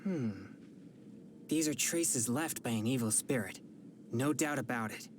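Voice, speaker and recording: A woman voicing a polite, earnest young exorcist in a video game, speaking in a measured way. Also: extremely low pitch, background noise. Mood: pondering. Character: earnest young female exorcist hunting evil spirits. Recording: game audio with background music.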